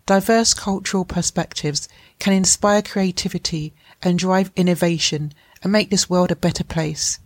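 A woman's voice: fairly low pitch, fairly even intonation, normal speed, no echo. Formal woman podcast host, dry sound. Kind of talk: scripted introduction and interview questions.

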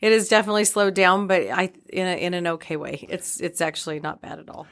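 A woman's voice: lowish voice, fast. A middle-aged woman chatting casually as an interview guest. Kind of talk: casual chat.

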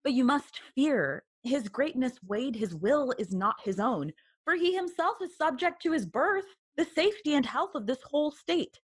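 Earnest, admonishing older sibling, classical audio drama. Higher voice, very animated, theatrical, dry recording. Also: female voice, normal speed, slightly rough, no echo. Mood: earnest warning. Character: Laertes, protective, earnest, cautioning older sibling. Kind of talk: Shakespearean audio drama acting.

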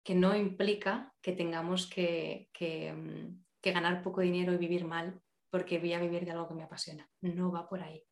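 Low-voiced Spanish woman in an echoey room. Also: little pitch movement, moderate pace, creaky. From Spain. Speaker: podcast guest. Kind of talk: casual podcast interview.

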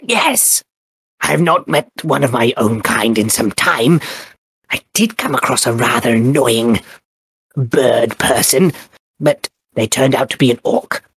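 A stammering, husky bird-folk cleric, played by a man in a tabletop game. His voice has a gravelly fry and a breathy rasp. He grows solemn when he speaks of his goddess. It is recorded dry and close. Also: mid-range voice, animated, slow pace, fairly bright tone, no echo, exaggerated voice. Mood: earnest. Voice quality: husky, raspy. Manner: stammering, hesitant, then solemn when he preaches. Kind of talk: tabletop character interview.

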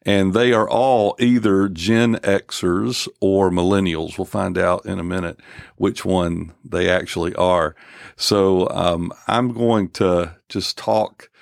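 A man in his fifties hosts a church podcast in an earnest, unhurried way. His voice sits very low with a gravelly edge. The sound is close and dry. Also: fairly even intonation, no echo. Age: 50s. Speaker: podcast host. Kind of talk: casual church podcast talk.

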